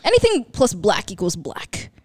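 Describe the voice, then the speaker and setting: Animated young American woman in casual chat. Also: mid-range voice, normal speed, slightly rough, no room sound, studio quality.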